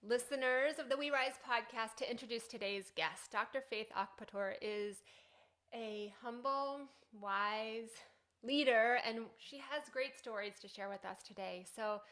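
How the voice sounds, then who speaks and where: Female podcast host, slightly high voice, enthusiastic interviewer. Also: unhurried, a bit bright. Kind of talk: warm interview introductions and questions.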